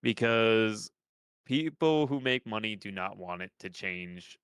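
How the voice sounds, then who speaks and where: A man's voice: fairly low pitch, animated, slow pace, a bit bright, clean tone, no echo. A young man with a stuffed-up nose, chatting on a podcast in a rambling way, with lots of restarts and casual swearing. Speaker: podcast host.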